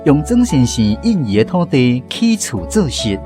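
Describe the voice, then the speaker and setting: A Taiwanese-accented man with a mid-pitched voice narrates a radio drama from a script. He sounds like a programme host, with music playing behind him. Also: big pitch swings, normal speed.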